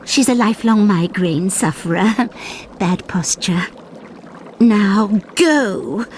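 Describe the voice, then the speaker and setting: A woman voicing a sly, theatrical witch in a fantasy video game, speaking in a grand, drawn-out manner. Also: mid-range voice, animated, slight background noise.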